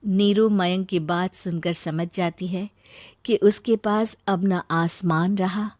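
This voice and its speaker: A woman's voice: lowish voice, steady tone, takes it slowly, a little mellow, no echo, studio quality. A woman reading a scripted story as its narrator.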